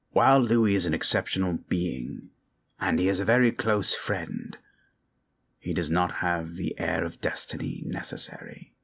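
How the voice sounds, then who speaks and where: A man voicing a grave dark sorcerer turned to good, solemn, measured and commanding, in a fantasy ASMR roleplay. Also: low-pitched, sing-song, heavily acted. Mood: grave, probing. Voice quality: husky.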